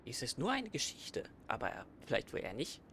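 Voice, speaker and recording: A man's voice: mid-range voice, huge pitch swings, a little fast, background noise. A man hosting a let's play, commenting casually and playfully off the cuff. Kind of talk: casual gameplay commentary in banter.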